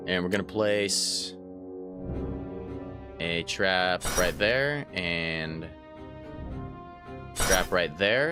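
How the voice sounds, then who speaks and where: Chatty man with a stuffy nose. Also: deep voice. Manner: casual, chatty, addresses viewers as guys. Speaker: gaming channel host. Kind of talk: let's play commentary. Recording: faint game music behind.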